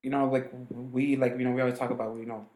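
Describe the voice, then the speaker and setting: A man's voice: mid-range voice, level tone, fast talker, a little mellow. Man from LA, restaurant owner, rambling casual talk, roomy sound. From Los Angeles. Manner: rambling, many restarts and fillers.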